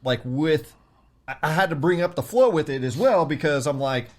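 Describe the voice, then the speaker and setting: Chill guy on a music podcast, kinda high voice, lots of "like" and "you know". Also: lively intonation, normal speed. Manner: laid-back, lots of likes and you-knows. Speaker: podcast co-host. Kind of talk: casual album review chat.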